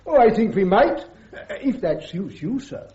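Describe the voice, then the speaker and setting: A man's voice: high-pitched, big pitch swings, unhurried, darkish tone. An actor playing a mild, deferential male court official in a radio drama, polite and hesitant. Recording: roomy, dull, noisy radio recording.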